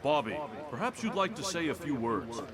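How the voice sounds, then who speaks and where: A man's voice: a little high, very animated, normal speed, a loud crowd. A man playing a middle-aged American senator in a motion comic, folksy, oily and patronizing. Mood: pompous. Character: folksy, manipulative middle-aged male senator, bullying beneath charm.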